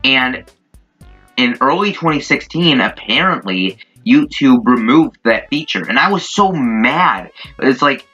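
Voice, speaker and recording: A man's voice: mid-range voice, animated, unhurried, bright, forceful tone, husky. A young man telling a casual, rambling, informal story as a YouTuber. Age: young adult. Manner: rambling, informal, mildly profane. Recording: dull lo-fi mic. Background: background music.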